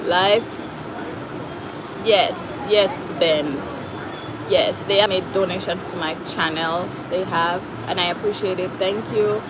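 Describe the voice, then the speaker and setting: A woman's voice: on the low side, steady tone, takes it slowly, background noise. A woman with a Jamaican accent hosting a casual livestream, reading out viewer comments in a chatty way and slipping into Patois phrases. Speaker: livestream host.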